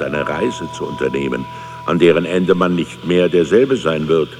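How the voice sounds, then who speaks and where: An actor playing a solemn writer-sage in a radio drama, reciting poetically in a lofty, measured manner. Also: male voice, low voice. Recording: long reverb under loud background sound.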